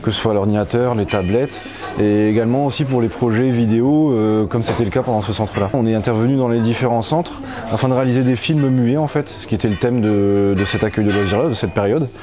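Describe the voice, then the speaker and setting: Male activities facilitator, quick delivery, mid-pitched, giving an informal interview explanation. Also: a crowd in the background.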